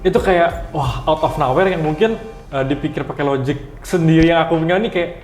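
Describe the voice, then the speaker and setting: Male guest, twenties, quick informal talk sprinkled with English, echoey room, music underneath. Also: fairly high pitch, darkish tone. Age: 20s. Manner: informal, mixes in English words. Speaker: guest, startup founder. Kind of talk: casual interview answers. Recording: roomy echo with music behind.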